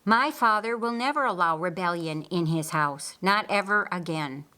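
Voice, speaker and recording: A woman voicing a calm, authoritative male teacher in an audiobook, patient and probing, with a measured, instructive manner. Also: lowish voice. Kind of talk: audiobook character dialogue.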